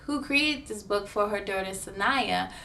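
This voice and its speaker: A woman hosting a story time for children, reading and chatting in an animated, playful way. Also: medium pitch, a little slow, gravelly, a little hiss or hum. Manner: animated, exclamatory, playful with sound effects. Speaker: story time host reading picture books. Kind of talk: children's read-aloud storytelling.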